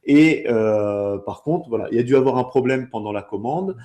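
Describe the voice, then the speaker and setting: A man working as a sales trainer, explaining step by step in a conversational way, often pausing mid-phrase to rephrase. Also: medium pitch, dark tone.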